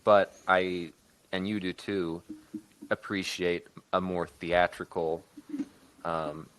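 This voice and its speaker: A man talking casually as a podcast guest, in a thoughtful, measured and somewhat hesitant manner. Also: low-pitched, steady tone, a bit bright, smooth. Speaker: podcast guest, co-producer and writer. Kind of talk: casual discussion.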